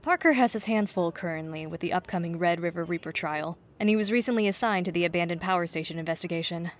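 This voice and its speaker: An actress playing a serious, composed police detective giving measured, official answers in an audio drama news interview. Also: female voice, on the low side, muffled recording, natural voice. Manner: measured, official, matter-of-fact.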